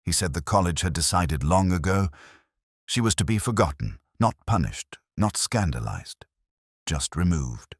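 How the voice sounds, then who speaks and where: A man with an extremely deep, cavernous voice tells a noir detective story in the first person. His delivery is measured and brooding, rising and falling expressively through each sentence. The sound is close and completely dry, with no room in it. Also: animated, husky. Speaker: narrator.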